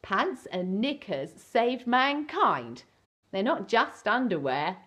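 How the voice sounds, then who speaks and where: Very animated high-voiced woman storyteller. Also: slow, breathy edge. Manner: playful, exaggerated, expressive. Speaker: children's entertainer and storyteller. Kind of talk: theatrical children's storybook reading. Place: small indoor set with fabric backdrop.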